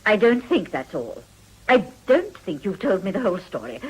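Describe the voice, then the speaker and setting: A woman's voice: medium pitch, sing-song, normal speed, slightly rough, rough recording. An actress playing a genteel, prying middle-aged English mother, fussing anxiously in a period radio drama. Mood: suspicious, probing.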